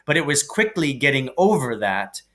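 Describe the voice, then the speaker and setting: A man reading a children's story aloud as dramatic, deliberate, suspenseful narration. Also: mid-range voice, big pitch swings, fairly bright tone. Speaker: reader.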